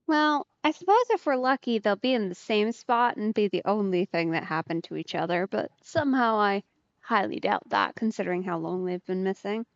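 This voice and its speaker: A woman's voice: mid-range voice, moderate pace, no echo, dull audio. A woman giving casual live commentary as she plays a video game. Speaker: streamer, host of a game let's play. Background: video game music.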